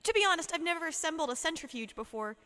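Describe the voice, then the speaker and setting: A woman's voice: very high-pitched, lively intonation, racing. A woman playing a nervous but blunt assistant in a comedic audio drama, in an echoing, noisy space. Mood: nervous, unsure. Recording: echoing hall, loud background noise.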